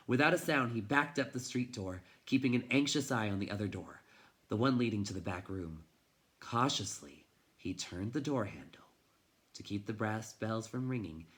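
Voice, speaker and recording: A man reading a children's novel aloud in an expressive, dramatic way, moving between hushed and intense delivery. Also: on the low side. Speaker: teacher reading a storybook aloud.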